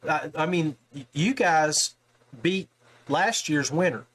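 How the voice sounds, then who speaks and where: A man with a somewhat high voice hosts a casual interview. He speaks informally, circling back to restate his thoughts in a conversational way. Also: slow, a bit bright, slightly rough. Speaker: podcast host, interviewer.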